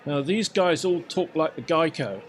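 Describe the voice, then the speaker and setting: A man's voice: on the high side, lively intonation, moderate pace, a faint crowd. A middle-aged man with an English accent, chatting casually as a podcast host in an emphatic, mock-pompous way. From England. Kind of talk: casual anecdotes and mock-instructional chat.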